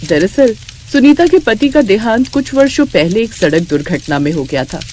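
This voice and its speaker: A woman's voice: medium pitch, animated, moderate pace, warm tone, slight background noise. A woman reading scripted story narration as a storyteller.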